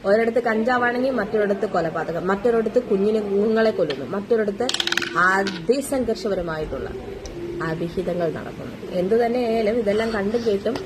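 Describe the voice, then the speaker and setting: A woman's voice: medium pitch, brisk. A woman giving casual opinion commentary, exasperated and moralizing, asking many rhetorical questions. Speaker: commentary vlogger. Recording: noisy amateur voice recording.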